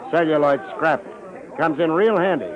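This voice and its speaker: An actor playing a tough, world-weary private detective in a vintage radio drama, narrating and wisecracking in deadpan hard-boiled slang. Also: male voice, fairly high pitch, moderate pace, a crowd in the background, close to an everyday voice. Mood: grim, sardonic. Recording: muffled old radio recording, music behind.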